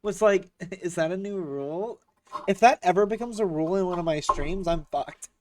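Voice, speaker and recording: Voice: medium pitch, normal speed, recorded from a distance, background noise. Sniffly streamer swearing and joking with chat. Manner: profane, joking, laughs often, reads chat aloud. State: getting over a cold, sniffly. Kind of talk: casual live game-stream chat with viewers.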